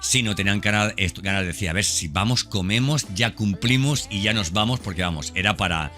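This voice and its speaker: A man hosts a podcast in a fairly low voice with a bright, forceful edge. He speaks informally and off the cuff, straight to the listener, repeating phrases for emphasis. Music plays softly behind him. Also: fairly quick. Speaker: podcast host. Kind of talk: informal unscripted monologue. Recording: background music under the voice. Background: background music.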